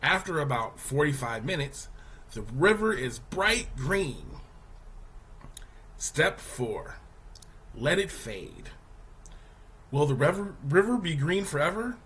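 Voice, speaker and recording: A man reading a children's news article aloud as a teacher, in a deliberate, explanatory manner. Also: fairly high pitch, very animated, a bit bright, slightly rough. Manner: deliberate, explanatory, poses questions to young listeners.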